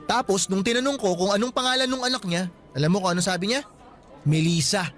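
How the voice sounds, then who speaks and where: A man's voice: high-pitched, fast talker, fairly bright tone, faint music behind. A man voicing a resentful young male student in a radio drama, curt and guarded. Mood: firm.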